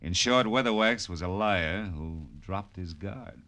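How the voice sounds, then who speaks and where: A man's voice: low-pitched, a little slow, pushed and bright, full character voice. A man playing a wry, world-weary American private detective in 1940s radio noir style, narrating in tough slang. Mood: breezy banter. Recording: vintage radio recording with music.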